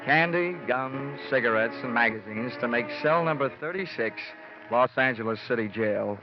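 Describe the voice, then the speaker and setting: Hardboiled insurance investigator male actor, American, deadpan wisecracks, mid-pitched, vintage radio drama, dull old broadcast sound. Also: moderate pace, music in the background, close to an everyday voice. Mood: dry, sardonic. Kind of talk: 1950s radio detective drama acting.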